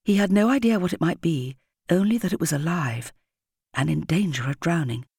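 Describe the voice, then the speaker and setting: Female children's audiobook narrator. Breathy, husky texture, dramatic storytelling delivery, dry studio-like sound. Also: fairly low pitch, moderate pace, a little mellow, dry recording. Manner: dramatic, suspenseful storytelling with varied emphasis.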